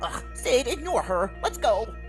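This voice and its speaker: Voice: medium pitch, big pitch swings, measured pace, soft background music, voice pushed up. A performer voicing a worried, protective male dog who is reluctant, wary and earnest. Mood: annoyed.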